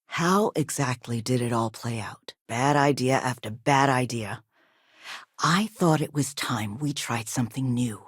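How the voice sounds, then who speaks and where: Video game chief executive: low female voice, very expressive range, courteous but firm, dry close sound. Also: husky, no echo, exaggerated voice. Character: poised, businesslike female arms company chief executive, quietly assertive. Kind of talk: video game dialogue acting.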